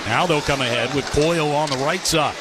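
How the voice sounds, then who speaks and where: A man's voice: a little high, brisk. A man doing live radio hockey play-by-play, describing the action in clipped phrases. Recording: noisy live arena broadcast. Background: loud arena noise.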